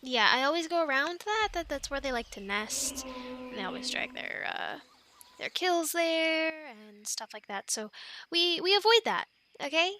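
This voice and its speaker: A woman's voice: high-pitched, expressive, a little slow, slight background noise, exaggerated voice. A woman playing a quirky, awkward young animal scientist stranded on an island, stammering and rambling in an ASMR audio roleplay. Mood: wary, explaining. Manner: stammering, rambling, flustered, urgent hushing in whispers.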